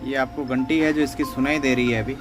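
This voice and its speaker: A man's voice: mid-range voice, fairly even intonation, fairly quick, loud music behind the voice. A man gives an unscripted, step-by-step repair demonstration in a conversational, explanatory way, often repeating himself. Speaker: phone repair tutorial host.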